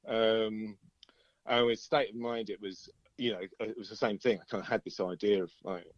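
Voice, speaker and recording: A man's voice: on the low side, steady tone, normal speed, no echo, dull audio. A middle-aged British man, a musician chatting casually in an interview, rambling through stories in a chatty way. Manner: chatty, rambling storyteller, often chuckling.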